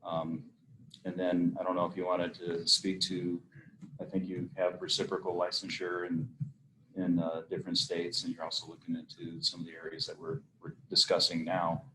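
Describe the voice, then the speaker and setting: A man's voice: low voice, completely flat delivery, normal speed, background noise. A man leading a casual meeting discussion, hesitant with many fillers. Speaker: meeting facilitator.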